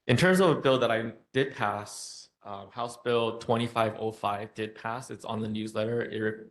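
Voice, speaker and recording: A man, a state legislator, gives an informal report at a community center meeting, pausing often with fillers. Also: on the low side, fairly bright tone. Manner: informal, hesitant, frequent filler pauses.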